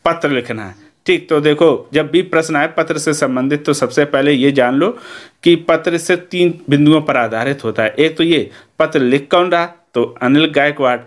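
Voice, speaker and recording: A man with an Indian accent giving an instructional lesson as a teacher, explaining methodically step by step and checking in after each point. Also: fairly high pitch. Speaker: Hindi teacher.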